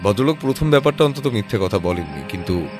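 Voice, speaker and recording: An actor playing a composed, analytical private detective in an audio drama, reasoning aloud in a measured way. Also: male voice, fairly low pitch, big pitch swings, a little mellow, soft background music. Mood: measured, puzzled.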